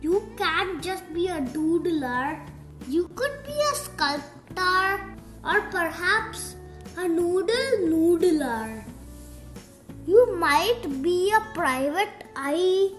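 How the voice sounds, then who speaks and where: A young girl reading a rhyming picture book aloud in a deliberate, sing-song storytime style. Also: very high-pitched, animated. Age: child. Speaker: young reader of picture books. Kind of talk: read-aloud storytime narration of rhyming verse. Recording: roomy echo, music playing behind. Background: music.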